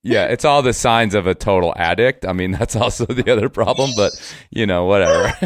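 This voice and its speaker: A man's voice: lowish voice, moderate pace, a little hiss or hum. A man, chatting casually as a podcast host. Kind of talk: casual chat.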